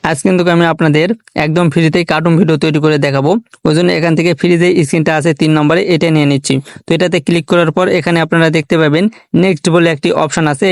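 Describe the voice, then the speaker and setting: Male tech tutorial narrator: brisk step-by-step instruction, flat intonation, close dry voice-over. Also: on the high side, dry recording. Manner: instructional, addresses viewers as friends.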